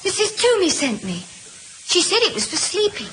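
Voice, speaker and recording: An actress playing a young, deferential servant maid who is easily flustered, in an old radio drama. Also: female voice, very high voice, big pitch swings, normal speed, a bit bright, full character voice. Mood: dutiful. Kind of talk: 1940s-50s radio acting. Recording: noisy old radio recording with clicks.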